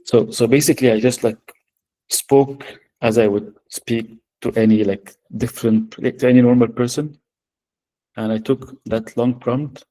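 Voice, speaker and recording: A man's voice: mid-range voice, dark tone, poor audio. Hesitant Arabic-accented man presenting. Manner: hesitant, many fillers. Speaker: workshop presenter. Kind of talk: live software demo.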